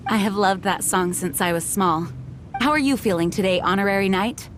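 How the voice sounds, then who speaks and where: A woman's voice: medium pitch, moderate pace, a little hiss or hum, close to an everyday voice. An actress playing a composed, dutiful woman knight commander in a video game, poised, earnest and resolute.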